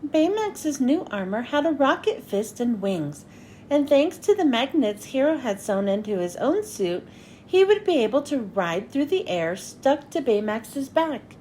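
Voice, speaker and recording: A woman reading a children's story aloud in an expressive, dramatic storytelling style. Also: high-pitched, a little slow, a little hiss or hum, poor audio. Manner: expressive, dramatic with character lines. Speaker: children's book reader. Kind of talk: animated read-aloud storytelling for kids.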